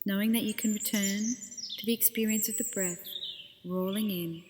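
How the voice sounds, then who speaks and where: A woman leading a guided body scan meditation as a teacher, speaking gently and unhurriedly with pauses between phrases. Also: medium pitch, fairly even intonation, long reverb tail, noisy background.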